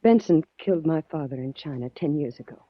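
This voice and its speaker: A fearful, guarded woman in a 1940s radio mystery. Her voice is husky, with pleading, halting phrasing. The sound is dull and vintage, close and dry. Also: deep voice, lively intonation, dry recording. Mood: anxious. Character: anxious, guarded woman, daughter of a murdered man. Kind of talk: 1940s radio drama acting. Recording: muffled 1940s radio recording.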